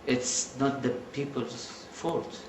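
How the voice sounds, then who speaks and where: Man, very slow, gravelly, echoey room, faint background noise. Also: medium pitch. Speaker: interviewee. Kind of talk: plain interview statement.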